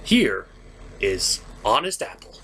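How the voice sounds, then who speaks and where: A man's voice: fairly low pitch, big pitch swings, slow pace, pushed and bright, a little hiss or hum. A man hosting a comedic cartoon review, partly scripted and partly ad-libbed, with a sarcastic, theatrical delivery. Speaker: host of a cartoon review show.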